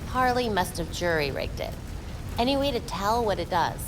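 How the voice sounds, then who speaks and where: An actress playing a determined, quippy young vigilante heroine in a video game cutscene. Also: female voice, medium pitch, expressive, moderate pace, background noise, natural voice. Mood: focused.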